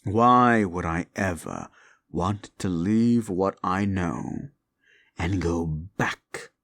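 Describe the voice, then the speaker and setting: A man's voice: very slow, fairly bright tone, no echo, full character voice. A man voicing a scornful male demon in an audio roleplay, taunting and mocking the listener with a profane tongue. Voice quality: deep and gravelly.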